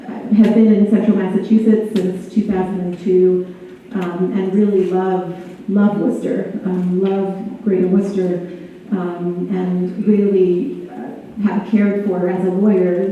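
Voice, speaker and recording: Woman, middle-aged, even intonation, hesitant personal speech, distant in a heavily reverberant hall. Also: lowish voice, narrow, tinny audio. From Mexico. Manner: hesitant, frequent ums and pauses. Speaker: guest speaker, attorney. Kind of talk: informal personal introduction in a public speech. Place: large echoey hall at a college ceremony. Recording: very echoey hall, distant, faint noise.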